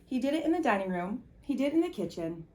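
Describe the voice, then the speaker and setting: A woman reading a children's story aloud as a volunteer, with animated, emphatic delivery. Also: on the high side, fast talker.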